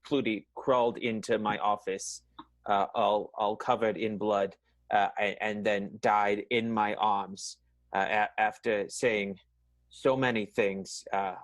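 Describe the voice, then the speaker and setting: A man's voice: fairly low pitch, no room sound. A man playing an awkward, earnest, lovelorn boss in an improvised radio play, with hesitant, stammering delivery. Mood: nervous, mournful. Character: awkward, nervous, lovelorn male office boss.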